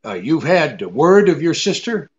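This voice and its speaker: An actor playing a hard-boiled, wry private detective with laconic, slangy delivery in a 1940s-style radio drama. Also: male voice, on the high side, very animated, measured pace, husky, lo-fi sound, exaggerated voice. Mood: calm, probing.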